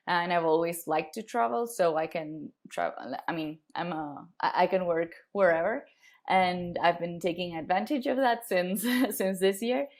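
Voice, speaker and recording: A young woman from Argentina with an Argentine accent, speaking English as a guest on a podcast, laughing often and restarting her sentences. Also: fairly low pitch. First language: Spanish. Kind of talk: casual podcast interview.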